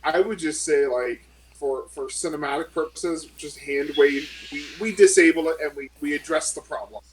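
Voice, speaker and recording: A man's voice: a little high, moderate pace, a little hiss or hum, rough recording. A man playing a tabletop game, making a case with many fillers and hedges. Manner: persuasive, hedging with fillers. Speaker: player. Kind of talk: casual tabletop game talk.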